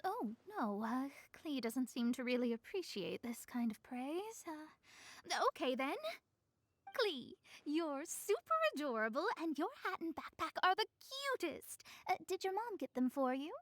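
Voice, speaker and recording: Young female mage, high voice, swooping intonation, theatrical, flustered, eager to please, game acting. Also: very animated, a little slow, no echo. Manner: flustered, grandiose when praising. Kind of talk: video game character acting.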